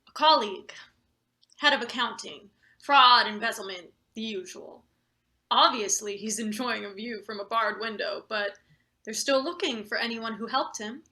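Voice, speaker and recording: Woman playing a creaky, manipulative female boss. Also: on the high side, normal speed, bright, forceful tone. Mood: veiled menace. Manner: pointed, insinuating. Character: coldly manipulative female boss, impatient and threatening. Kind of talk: table read of a satirical stage play.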